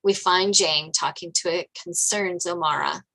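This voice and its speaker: A woman's voice: lowish voice, steady tone, normal speed, sharp tone. A woman narrating stage directions from a screenplay at a table read.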